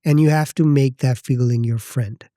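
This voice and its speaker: Pakistani-accented man, warm and dry. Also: mid-range voice, steady tone, no echo. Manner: thoughtful, halting, circles back to rephrase. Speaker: podcast guest. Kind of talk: reflective personal storytelling.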